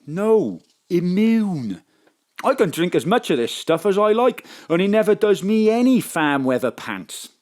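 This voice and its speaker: Gullible tipsy male client, slow delivery, lively swooping pitch, befuddled, comic audio drama. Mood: tipsy, rambling.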